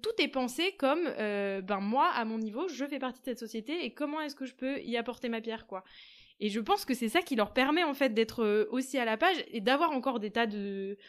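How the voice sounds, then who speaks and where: Young female guest, fairly high voice, chatty, searching for words. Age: 20s. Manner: animated, frequent fillers, trailing off into quiet. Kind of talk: conversational storytelling.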